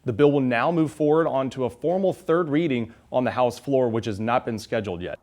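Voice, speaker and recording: A man's voice: medium pitch, a little fast, darkish tone. A man in his twenties with an American accent, delivering scripted reports as a television news reporter.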